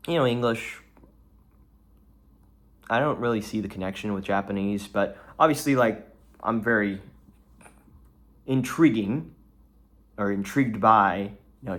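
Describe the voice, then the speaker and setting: Young texan dude rambling at the camera, lowish voice, lots of uh and you know. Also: male voice, fairly bright tone. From Texas. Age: young adult. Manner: rambling, many restarts and fillers. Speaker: vlogger. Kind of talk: rambling solo vlog talk.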